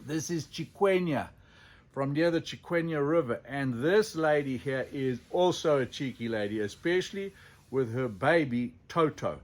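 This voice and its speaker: Male storyteller, mid-pitched voice. Unscripted and theatrical, leaning on dramatic pauses. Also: animated. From Zimbabwe. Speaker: storyteller, sculptor presenting his own elephant figures.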